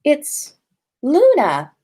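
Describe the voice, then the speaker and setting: Woman as odd, serene young witch for a story reading, airy, higher, drawn-out and unbothered. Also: huge pitch swings, mellow, full character voice. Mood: dreamy, serene. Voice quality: dreamy, airy. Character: dreamy, unblinking, odd teenage witch girl.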